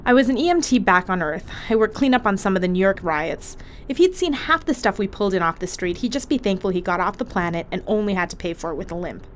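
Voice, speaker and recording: A woman's voice: medium pitch, lively intonation, fast talker, hardly any pauses, slight background noise, close to an everyday voice. A woman acting in an audio drama as a brash, irritable, foul-mouthed ship medic with a blunt, sarcastic, defensive manner.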